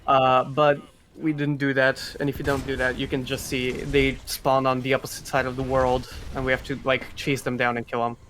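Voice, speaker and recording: A man's voice: medium pitch, little pitch movement, normal speed, fairly bright tone, slight background noise. A man giving casual, joking live commentary on a speedrun at a gaming event. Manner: joking, playful banter.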